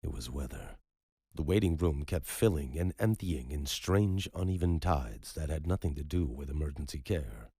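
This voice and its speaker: A man reading scripted dramatic fiction as an audiobook narrator, in a husky voice. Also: rumbling low, animated, normal speed, mellow, no room sound.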